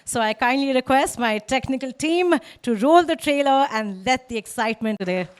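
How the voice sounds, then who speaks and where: A woman's voice: high voice, expressive, normal speed, smooth. A woman formally announcing as an event host.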